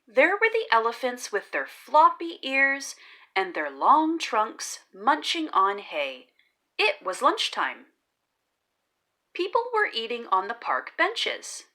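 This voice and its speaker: A woman reads a children's story aloud in a bright, fairly high voice. Her delivery is unhurried and theatrical, with very wide swings in pitch. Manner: deliberate, animated storytelling delivery. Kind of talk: expressive scripted children's story narration.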